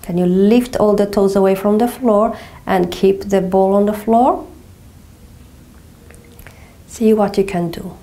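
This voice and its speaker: A middle-aged Portuguese woman with a Portuguese accent, gently guiding a floor movement exercise with slow, calming cues and frequent check-ins. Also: mid-range voice. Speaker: movement teacher. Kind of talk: guided somatic exercise instruction. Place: small carpeted room indoors.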